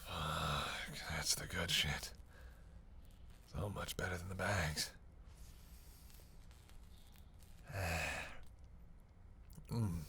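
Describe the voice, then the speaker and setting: A man's voice: medium pitch, lively intonation, moderate pace, pauses often, fairly bright tone, a little hiss or hum. Man acting a sardonic, grumbling vampire. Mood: hungry, teasing. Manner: sarcastic, grumbling, swearing. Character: sardonic, reluctant male vampire, hungry, cursing, teasing. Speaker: voice actor in audio roleplay. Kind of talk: solo audio roleplay addressed to the listener.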